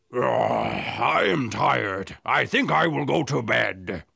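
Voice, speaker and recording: A man playing a horrible, menacing, weary giant with a gravelly, raspy voice in an animated children's story. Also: medium pitch, very slow, no room sound, clean studio sound, full character voice. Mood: weary grumbling.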